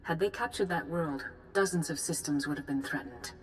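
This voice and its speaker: An actress playing a calm, grave senior Jedi leader giving formal, measured orders in a video game. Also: female voice, low-pitched, soft background music. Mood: composed, satisfied. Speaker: commander giving a mission briefing. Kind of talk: video game cutscene acting.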